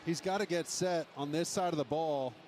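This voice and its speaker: A man's voice: fairly high pitch, normal speed. A man giving emphatic, explanatory color analysis on a live football broadcast. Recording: TV game broadcast with loud stadium noise.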